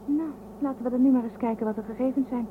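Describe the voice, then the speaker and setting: An actress playing Astra, a blunt, sharp-tongued woman astronaut in a radio play. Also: high voice, fairly even intonation, fast talker, lightly acted. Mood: businesslike. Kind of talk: radio drama acting. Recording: muffled phone-like sound with loud hiss.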